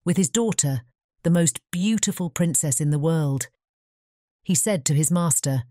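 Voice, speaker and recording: Storybook narrator: British woman, deep mellow voice, dry close recording. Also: measured pace, no room sound. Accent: British English. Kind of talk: scripted fairy-tale narration.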